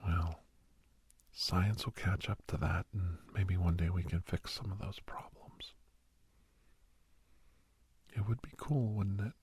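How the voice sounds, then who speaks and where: A man playing a kindly doctor in an ASMR roleplay, speaking in a hushed, breathy whisper with pauses. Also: low-pitched, no room sound, heavily acted. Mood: reassuring, confiding. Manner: hushed, unhurried, with pauses and soft chuckles. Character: kindly doctor advising a young werewolf, old family friend.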